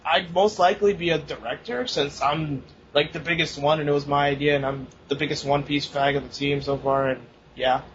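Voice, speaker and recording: A man's voice: fairly high pitch, steady tone, a bit bright. Young man chatting in a lo-fi recording. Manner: laughs often, swears, fast-rambling. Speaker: podcast co-host. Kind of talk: casual chat. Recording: dull lo-fi recording, faint noise.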